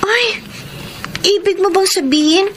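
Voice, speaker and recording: A woman's voice: very high voice, measured pace, a bit bright, a little hiss or hum. An actress with a Filipino accent playing a frank, devoted young woman student in a radio drama. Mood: lively, curious. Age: young adult.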